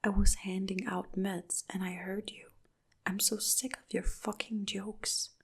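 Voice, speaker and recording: A woman's voice: medium pitch, level tone, measured pace, gravelly, slightly rough, exaggerated voice. A woman whispering as a furious young nurse. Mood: angry whisper. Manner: whispered, angry snapping. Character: furious young adult woman nurse, accusing her husband.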